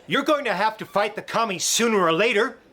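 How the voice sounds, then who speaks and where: A man's voice: high-pitched, animated, brisk, sharp tone. An actor playing a folksy American Nazi collaborator in a radio drama, with chummy, oratorical salesman patter and an American accent. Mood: chummy coaxing.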